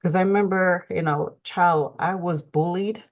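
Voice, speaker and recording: A woman host with a low voice talks in a loose, conversational way, dropping "you know" often. Her recording sounds slightly dull and lo-fi. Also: steady tone, measured pace. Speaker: podcast host and coach. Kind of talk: casual talk-show chat.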